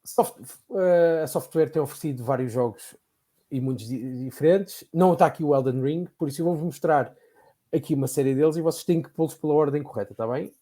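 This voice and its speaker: A man's voice: a little high, brisk, warm tone. A man hosting a casual quiz game among friends, explaining the rules with hesitant restarts. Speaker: quiz host.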